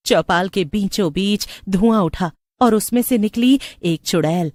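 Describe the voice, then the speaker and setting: Casting: female story narrator, slightly low voice, close dry sound, scripted storytelling. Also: a little mellow, no echo.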